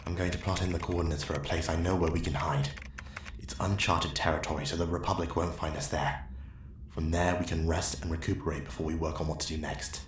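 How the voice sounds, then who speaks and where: A calculating Sith Lord acted by a man in an ASMR roleplay, with a low voice. He is measured, persuasive and commanding, tempting the listener toward darkness. The recording is echoey, with faint noise behind. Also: steady tone. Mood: brisk, commanding. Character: calculating male Sith Lord, smooth seducer to dark side.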